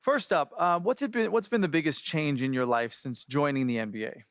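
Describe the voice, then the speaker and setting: A man's voice: fairly high pitch, a little fast, dry recording, clean studio sound, lightly acted. A man playing a polite, appreciative, mildly hesitant sports reporter doing an interview in a video game. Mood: polite, curious.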